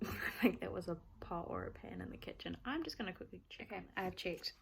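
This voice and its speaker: Chatty Kiwi woman, low-ish voice. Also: huge pitch swings, fast talker, vocal fry, slight background noise. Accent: New Zealand. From born in Scotland, raised in New Zealand. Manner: chatty, rambling, self-deprecating asides. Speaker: book vlogger. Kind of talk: casual reading vlog chat.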